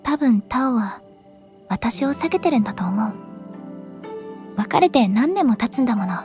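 A woman voicing an earnest, pensive young woman in an anime-style game, speaking in a serious, measured way. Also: a little high, expressive, darkish tone, faint music behind, natural voice. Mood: wistful. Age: young adult. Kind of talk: Japanese anime game voice acting.

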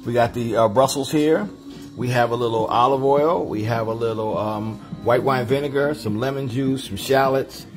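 A man hosting a casual vegan cooking livestream from his home kitchen, talking to viewers as family in a relaxed, chatty way. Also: medium pitch, normal speed, over music. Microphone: phone camera.

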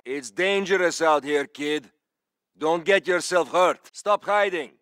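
A man voicing a gruff, cynical mercenary soldier in a video game. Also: fairly high pitch, slow pace, fairly bright tone, dry recording, studio quality. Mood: coaxing.

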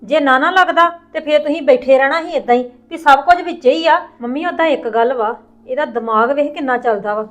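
Woman as an outspoken relative, high voice, argumentative. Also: fairly quick, few pauses, natural voice. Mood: arguing.

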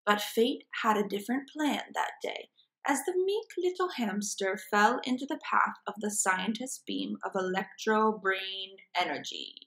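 Young woman storyteller reading comics out loud, slow pace, highish bright voice. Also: creaky, rough recording. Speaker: after-school program storyteller. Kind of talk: comic-book read-aloud narration. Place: small room at home, indoors.

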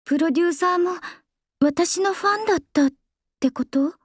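Game idol singer: composed and soft-spoken, high and dry close-mic sound. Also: female voice, moderate pace, no room sound. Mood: calm. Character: young female idol singer, cool and earnest. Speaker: game character voice. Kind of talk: game voice lines. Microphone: close studio mic, dry.

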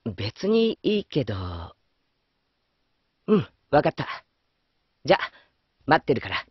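Soft, husky, gentle young man. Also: a little high, sing-song, slow, no echo, heavily acted. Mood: mild, easygoing. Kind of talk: Japanese anime audio drama acting. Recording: dull lo-fi audio, faint music.

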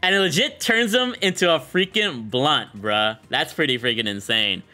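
A young man hosting a casual game showcase, talking in an excited, slangy way on a close microphone. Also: a little high, normal speed, soft background music. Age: young adult. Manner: slangy, enthusiastic. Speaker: gaming content creator and host.

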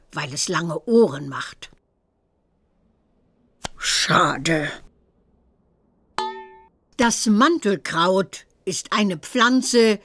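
A woman voicing a stern old witch examiner who teaches herbalism in a children's computer game, theatrical and deliberate. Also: mid-range voice, a bit bright, full character voice.

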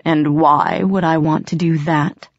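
A woman's voice: deep voice, fairly even intonation, a little slow. A haughty male faery cat, as voiced by a woman narrator in a storybook reading. He talks down to his listener in formal, disdainful phrases. The sound is a little dull and roomy. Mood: bored, amused.

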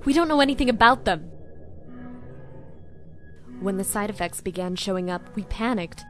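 A woman's voice: mid-range voice, expressive, moderate pace, faint music behind, close to an everyday voice. A woman playing a calculating, self-assured female scientist villain in an anime dub, with composed, coaxing delivery. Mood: defensive, earnest. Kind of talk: dramatic anime dub acting.

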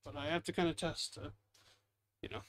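A man with a slightly high voice hosts a gaming video in a casual, rambling way. He mutters self-deprecating asides as he plays. The home headset recording has some faint noise. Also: flat delivery, a little fast, a bit bright. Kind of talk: casual gameplay commentary.

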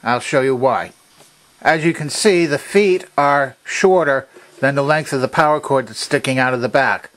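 A man's voice: mid-range voice, moderate pace, a bit bright. A man giving an instructional demonstration in a methodical, precise, explanatory way. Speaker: demonstrator of a vintage machine. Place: indoor workshop at a workbench.

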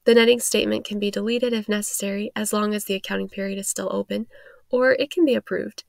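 A woman's voice: medium pitch, steady tone, quick pace, vocal fry, dry recording, studio quality. A woman reading scripted explainer narration as a tutorial narrator, in an instructional manner.

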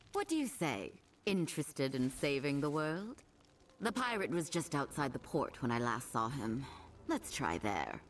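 Low-voiced, confident young wizard. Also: female voice, a little hiss or hum, exaggerated voice. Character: confident, eager young female wizard scholar. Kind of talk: video game voice acting. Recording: slightly distant, with game ambience.

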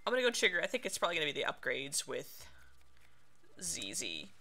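A woman's voice: low voice, very animated, brisk, gravelly, pushed and bright. A woman streamer giving casual, playful commentary on a video game, reacting aloud as she plays. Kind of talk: casual let's play commentary.

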